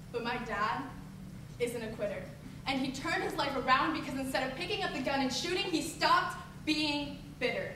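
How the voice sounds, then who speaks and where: A young woman performing slam poetry live, emphatic and declamatory with a rhythmic delivery. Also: high voice. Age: teens to young adult. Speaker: slam poet. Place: echoey venue at a high school showcase. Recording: echoey distant live recording, background noise.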